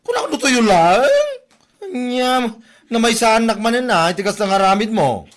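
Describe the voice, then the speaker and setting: A woman's voice: a little high, expressive, unhurried. A woman acting an exasperated village storekeeper, grudging and complaining, in a comedy drama. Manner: reluctant, complaining.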